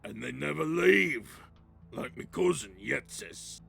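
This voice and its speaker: A grumpy troll tribesman in a fantasy audio drama. The voice is full of gravel and moves up and down a lot, grumbling in rustic phrases. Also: on the high side, expressive, slow pace, fairly bright tone, faint music behind, full character voice.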